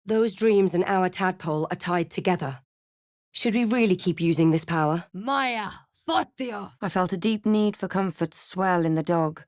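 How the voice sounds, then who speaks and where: A woman playing a wry, watchful fantasy adventurer hero in a video game, with a measured, dramatic delivery. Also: lowish voice, no echo, studio quality, close to an everyday voice. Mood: commanding incantation. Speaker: player character in a fantasy role-playing game. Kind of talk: video game voice lines and narration.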